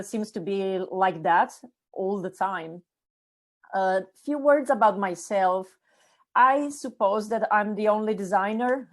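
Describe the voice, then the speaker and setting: A middle-aged woman from Italy with an Italian accent, introducing her course to lawyers in a chatty, enthusiastic way with many fillers. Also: medium pitch. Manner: lots of fillers and restarts, enthusiastic. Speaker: course instructor. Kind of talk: casual spoken course introduction.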